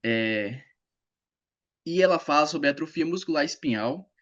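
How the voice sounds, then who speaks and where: A man's voice: on the high side, animated, a little slow, a bit bright, muffled recording. A man in his twenties with a Brazilian accent, informally presenting his project over an internet video call. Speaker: presenter, journalism graduate.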